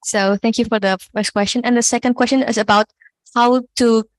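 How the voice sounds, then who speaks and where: A woman's voice: a little high, steady tone, brisk, no room sound. A woman moderating a lecture question session, polite and slightly hesitant.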